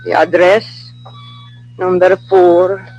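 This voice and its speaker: A woman's voice: fairly low pitch, level tone, slow pace, a little mellow, husky, dull audio, exaggerated voice. A woman playing a furtive, frightened informant, in old-time radio style on a vintage recording. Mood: furtive, fearful. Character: furtive, frightened female informant named Mira.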